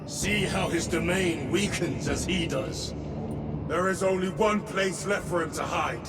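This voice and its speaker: Game cutscene battle brother: hardened, suspicious warrior, high forceful calls, blaring score behind. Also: male voice, unhurried. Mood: determined. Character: wary, battle-hardened space marine warrior. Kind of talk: dramatic video game acting. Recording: loud cinematic music and battle effects.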